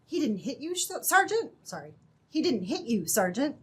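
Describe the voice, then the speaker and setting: A woman's voice: sits high, sing-song, normal speed, a bit bright, breathy edge, exaggerated voice. A woman playing a plucky, earnest young captive in an old-time radio adventure, speaking emphatically. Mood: alarmed.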